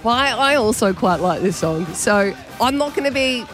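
A woman's voice: fairly high pitch, animated, normal speed, soft background music. A woman hosting breakfast radio, upbeat and playful, speaking with a cold. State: has a cold. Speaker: radio host. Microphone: studio mic.

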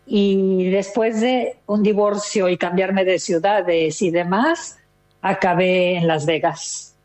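Older Mexican woman, chatty and expressive. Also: medium pitch, slow pace. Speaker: interview guest, retired listener. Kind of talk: casual conversational storytelling.

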